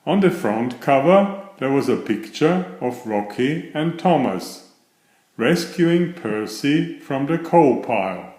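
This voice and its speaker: A male narrator reads a children's story expressively. His pitch moves up and down a lot while his pace stays slow and deliberate. The recording has a noticeable room echo. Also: lively intonation, a little mellow. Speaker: children's book reader. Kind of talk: storybook read-aloud narration. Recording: roomy, echoing voice recording.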